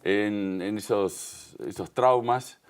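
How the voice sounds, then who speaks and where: A man's voice: fairly low pitch, slow pace. A man from the Río de la Plata with a Rioplatense Spanish accent, answering as an interview guest in an explanatory, emphatic way. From Uruguay and Argentina, Río de la Plata. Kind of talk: conversational television news interview.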